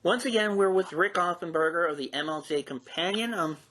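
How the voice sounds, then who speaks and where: A man hosting an interview in a measured, polite conversational way, his phrases often trailing downward. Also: high-pitched, very noisy. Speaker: host, interviewer.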